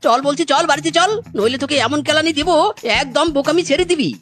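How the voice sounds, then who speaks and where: A woman's voice: high-pitched, fast, fairly bright tone. A woman voicing an exasperated, hot-tempered animal husband in a comic cartoon, blustering with exclamations. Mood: angry scolding. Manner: blustering, repeats a catchphrase exclamation. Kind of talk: exaggerated comic cartoon acting.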